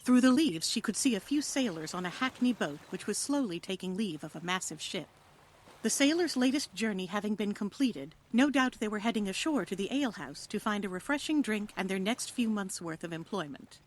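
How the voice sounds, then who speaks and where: Expressive woman narrating a tale. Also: mid-range voice, fairly quick. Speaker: narrator, reader. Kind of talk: dramatic scripted storytelling narration.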